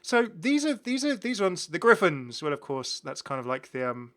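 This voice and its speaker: Bright-voiced, rambling British man. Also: sits high, very animated, normal speed. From United Kingdom. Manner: rambling, self-correcting, enthusiastic. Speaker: gaming video host. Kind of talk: casual game commentary.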